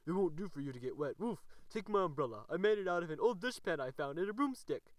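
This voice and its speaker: A woman's voice: fairly low pitch, expressive, fast, no room sound, lightly acted. A woman doing a polite ragged tramp dog. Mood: hearty. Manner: hearty, courteous, barks between sentences.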